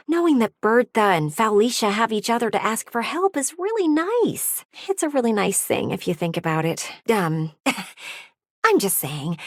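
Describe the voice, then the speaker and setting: A woman's voice: medium pitch, dry recording, studio quality. A woman voicing a vain, blunt, flirty living window in a video game, with a theatrical, emphatic delivery. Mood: wistful, candid. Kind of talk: video game character voice acting.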